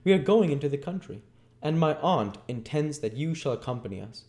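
A man voicing a kindly, genteel young lady in a storybook reading, gentle and gracious in a formal way. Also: fairly high pitch, animated, brisk, voice pushed up, natural voice. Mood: tender, encouraging.

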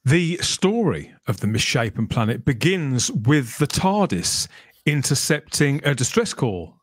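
A middle-aged man hosts a conversational interview. He speaks at a medium pitch and winds through his points with tag questions. The sound is close and dry, from a desk microphone in a small room. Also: very animated, unhurried, breathy edge, no room sound. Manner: discursive, frequent tag questions. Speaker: podcast host. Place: small furnished room at home.

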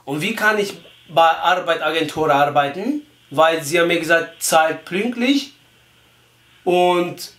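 A man putting on a naive, persistent Turkish immigrant with a Turkish accent, halting and ungrammatical, on a prank phone call. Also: a little high, expressive, a bit bright. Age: young adult. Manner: halting, ungrammatical, repeats himself. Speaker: prank caller. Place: small room indoors, phone on speaker. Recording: echoey room.

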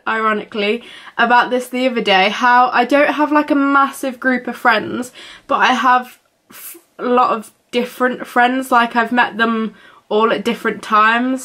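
A woman's voice: fairly high pitch, a bit bright. A young woman, chatting casually to camera in her bedroom, giggling and rambling with lots of fillers. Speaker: vlogger. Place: small bedroom indoors.

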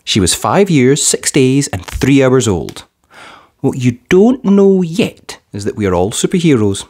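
A storyteller reading a children's picture book aloud in an expressive, deliberate way, drawing out the ends of phrases. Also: medium pitch.

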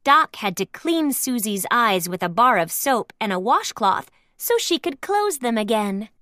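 A high-voiced woman reads a children's storybook aloud as narrator. Her delivery is slow and very lively, with expressive, careful enunciation suited to young listeners. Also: dry recording, studio quality.

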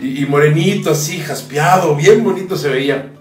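A middle-aged man telling a joke, animated and expressive, in a home office. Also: on the high side, normal speed, recorded from a distance. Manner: expressive, jumps between character voices. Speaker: stand-up comedian. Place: home office with brick wall. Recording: echoey room, mic some way off, faint music.

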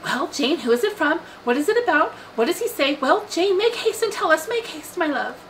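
An excitable, scheming middle-aged mother acted out by a woman reader, gushing and insistent in a bright, forceful voice. She is a fussy storybook matron, heard over some background noise. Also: fairly high pitch, brisk. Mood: eager, impatient. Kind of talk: audiobook character voice.

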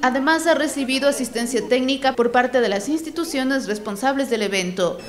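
A woman reporter reading a formal, measured news voice-over from a script. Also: high voice, very noisy. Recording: voice-over with loud music bed.

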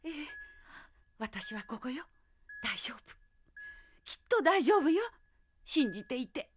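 A woman's voice: high-pitched, big pitch swings, slow, slight background noise, heavily acted. An actress playing an elderly mother, earnest and tender as she reassures her daughter in an audio drama. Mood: tender reassurance. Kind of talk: Japanese audio drama acting.

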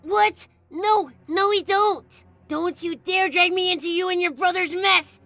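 A woman's voice: very high-pitched, unhurried, sharp tone, no echo, exaggerated voice. A woman voicing a small, selfish, sarcastic talking flower with an exasperated, scornful manner, in a comic dub. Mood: panicked, angry. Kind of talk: animated comic dub voice acting. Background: music.

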